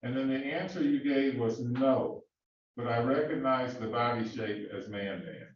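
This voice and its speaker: A man cross-examining a witness in a courtroom, questioning in a halting way with many fillers and restarts. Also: mid-range voice, a little hiss or hum. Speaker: defense attorney questioning a witness. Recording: echoey, distant, dull courtroom audio.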